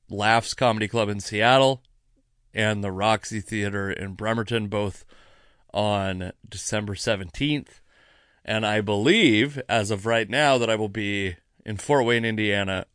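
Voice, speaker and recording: A man's voice: fairly low pitch, fairly bright tone, no room sound, clean studio sound. An American man, a comedian and podcast host, rambling casually and self-deprecatingly with some swearing, a little tipsy. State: mildly tipsy after a few drinks. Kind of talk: casual solo podcast monologue.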